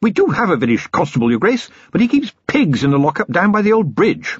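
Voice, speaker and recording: Brief: narrator voicing a chatty society lady, lifted husky voice. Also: high-pitched, sing-song, brisk, dry recording, pitched above the performer's own voice, exaggerated voice. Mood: eager. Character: chatty female dinner guest. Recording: dry, slightly dull recording.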